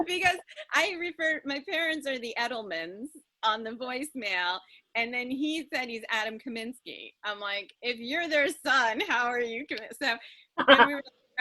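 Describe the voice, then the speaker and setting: A woman actor casually talking through ideas, telling things in an animated way. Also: fairly high pitch, dry recording. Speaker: improv audio drama actor. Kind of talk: casual creative discussion.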